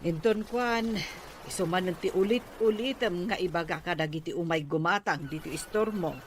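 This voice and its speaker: A woman's voice: lowish voice, normal speed, natural voice. A woman with a Filipino accent playing an opinionated village neighbour in a conversational audio drama. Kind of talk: conversational Ilocano radio drama acting. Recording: faint background noise.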